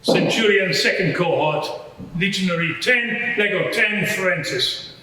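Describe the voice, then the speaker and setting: A man's voice: high voice, measured pace, sharp tone. A man playing a dutiful, blunt Roman centurion of fifty-eight, giving formal, clipped answers as a courtroom witness. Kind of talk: courtroom role-play testimony. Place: large echoing courtroom with audience. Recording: echoey hall, background noise.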